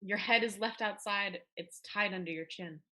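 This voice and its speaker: A woman's voice: medium pitch, moderate pace, bright, forceful tone, lightly acted. A woman playing a rueful, defiant teenage schoolgirl in a dramatic reading of a period play. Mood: aggrieved.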